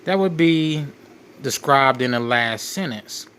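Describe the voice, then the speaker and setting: A man hosting a crime commentary, reading out case details in a halting way and often repeating his phrases. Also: medium pitch, expressive, a bit bright, slight background noise. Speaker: show host.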